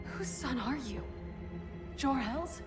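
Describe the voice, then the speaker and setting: A woman's voice: high-pitched, animated, slow pace. A young American woman playing a principled, defiant alien superheroine in a dramatic video game. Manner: earnest, impassioned. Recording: music behind the voice.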